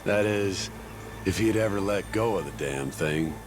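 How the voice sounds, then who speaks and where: An actor playing a gruff, loyal sea captain, tough and blunt but caring, in a dramatic game cutscene. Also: male voice, deep voice, measured pace. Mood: uneasy. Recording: music under the voice.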